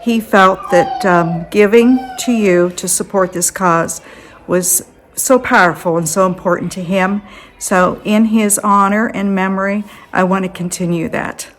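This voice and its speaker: Sincere woman speaking softly. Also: medium pitch, fairly even intonation, measured pace. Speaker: donor guest.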